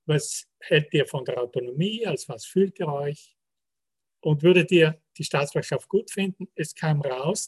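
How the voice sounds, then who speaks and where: A man, a sociologist calmly explaining survey results in a panel talk. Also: on the high side, husky. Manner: measured, explanatory, citing percentages. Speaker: sociologist presenting survey research.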